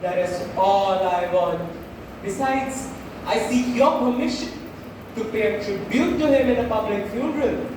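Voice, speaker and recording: A grieving, persuasive Roman orator, acted by a young man in a school play. The voice is very high and declaims with heavy pauses. It comes from far off in a very reverberant hall with clear background noise. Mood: formal, restrained. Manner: slow, declamatory, emphatic pauses. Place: school stage, recorded from audience distance. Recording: echoey hall, distant mic, noisy.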